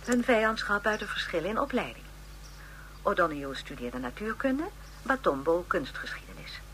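A woman's voice: on the low side, big pitch swings, moderate pace, fairly bright tone, slight background noise, low-quality recording. A woman in a radio drama playing a lecturer with a formal, academic delivery. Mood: earnest, didactic. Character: formal female lecturer on political history.

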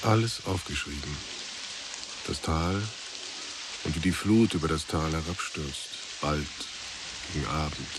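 A man giving sober, measured literary narration in a radio drama. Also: a bass voice, background noise.

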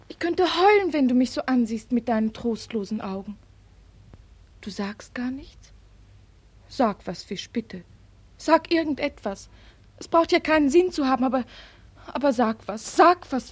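A woman playing a lonely soldier's wife in a 1940s radio drama, theatrical, swinging between teasing and tender pleading. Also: high voice. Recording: old 1940s radio studio recording.

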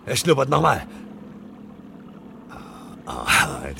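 A man's voice: lowish voice, wildly swooping pitch, slow, vocal fry, sharp tone, slight background noise, full character voice. A man acting a hunted fireman on the run in a radio play, muttering agitatedly to himself, defiant, sarcastic and afraid. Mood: tense, excited.

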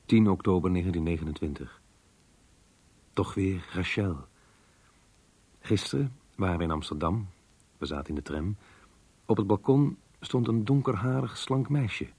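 An actor in a radio play playing a brooding, guarded man, husky and reserved, speaking in a measured way. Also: low voice, lively intonation, a little mellow, full character voice. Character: brooding, guarded man recounting his diary. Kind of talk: radio drama narration and dialogue.

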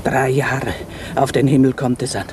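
An actress playing a pious, guilt-ridden old maidservant, halting and deferential, in a radio play. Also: female voice, rumbling low, steady tone, noisy background. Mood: brooding. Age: older.

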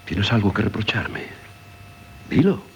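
Middle-aged Castilian husband, low voice with lively inflection, patient but wounded, hissy vintage radio drama. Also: male voice, measured pace. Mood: shaken, wounded. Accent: Castilian Spanish. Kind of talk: 1970s Spanish radio drama acting. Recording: hissy 1970s radio recording.

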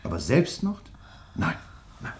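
An actor playing a suspicious, insistent man crime writer in a German radio drama, questioning in a pointed, deliberate way. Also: close to an everyday voice. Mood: brooding, bitter.

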